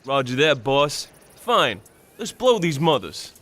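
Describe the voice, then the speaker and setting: A man's voice: a little high, sing-song, slow pace, fairly bright tone, heavily acted. A man with an American accent playing a tough soldier in a Vietnam War video game, talking in military slang. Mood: ready, dry. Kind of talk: video game cutscene dialogue.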